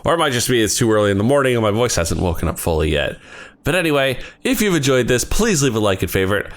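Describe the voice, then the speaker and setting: A man's voice: medium pitch, big pitch swings, quick pace. A man hosting a let's play, making joking asides to his audience while losing his voice. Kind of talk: casual game commentary.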